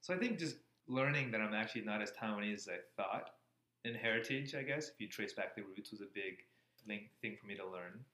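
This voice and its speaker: A man's voice: fairly low pitch, animated, brisk, pushed and bright. An American man in his thirties, answering interview questions in a casual way. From Florida, USA. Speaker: interview guest, cardiology fellow. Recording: echoey room, faint background noise.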